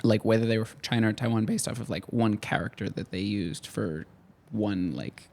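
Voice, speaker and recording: A man's voice: on the low side, moderate pace, creaky, darkish tone. A young adult man co-hosting a podcast in a clothing store, chatting casually and rambling with lots of "like". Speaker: podcast co-host. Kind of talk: casual chat.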